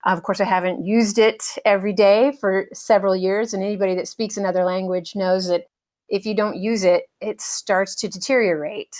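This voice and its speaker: A middle-aged American woman, a helicopter pilot, speaking as an interview guest in a relaxed, thoughtful way with many fillers and light laughs. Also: medium pitch, moderate pace. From United States. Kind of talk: casual conversational interview.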